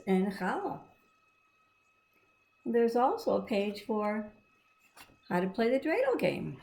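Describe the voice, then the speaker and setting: A woman's voice: mid-range voice, vocal fry, warm tone, rough recording. A woman reading and explaining a storybook to children in a simple, encouraging, teacherly manner. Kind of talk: read-aloud with explanatory asides to children.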